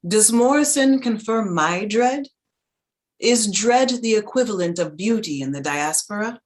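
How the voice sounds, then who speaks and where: A woman giving a dramatic reading of a literary essay in a measured, reflective, articulate manner. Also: mid-range voice, lively intonation. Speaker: reader in a staged reading.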